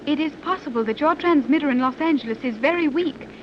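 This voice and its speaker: A woman playing a calm, precise young daughter in a 1930s radio serial, speaking in formal, exact phrases. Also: high-pitched, fast, close to an everyday voice. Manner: formal, stilted, exact phrasing without contractions. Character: calm, precise young daughter with advanced scientific know-how. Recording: muffled, hissy 1930s radio recording.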